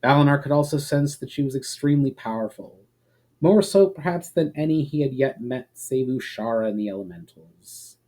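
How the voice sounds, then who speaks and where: A man narrates a fantasy tale as a dramatic reading. His voice is mellow and medium in pitch, and his storytelling is measured and expressive. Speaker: author reading own story.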